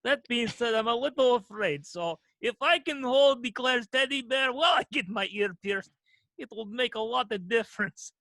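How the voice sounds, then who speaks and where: A woman's voice: on the high side, moderate pace, a bit bright, no room sound. A woman co-hosting a comedy podcast, chatting casually and improvising playfully. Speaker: podcast co-host.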